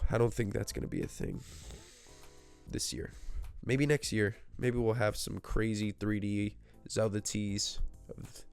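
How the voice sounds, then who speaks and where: A man's voice: mid-range voice, darkish tone, clear, resonant tone. A man with a stuffy nose from a cold, chatting casually on his gaming channel in a rambling, self-mocking way with jokey asides. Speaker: gaming video host. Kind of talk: casual solo speculation to camera. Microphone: desk microphone.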